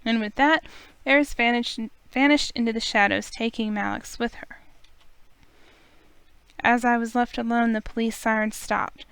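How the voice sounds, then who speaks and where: A woman reading a visual novel aloud in steady first-person narration. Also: a little high, normal speed, vocal fry, long pauses, clean tone, no room sound. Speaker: let's play reader.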